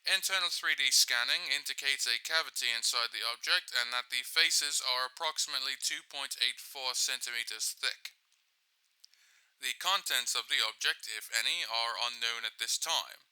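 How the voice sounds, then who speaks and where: A man acts as a clinical male scientist recording a research log for an audio drama. He is detached, precise and formal. The voice is very bright and clean, recorded dry with no room sound. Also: mid-range voice, moderate pace, clean tone, no echo, rough recording. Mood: detached, methodical. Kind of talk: scripted audio log dictation.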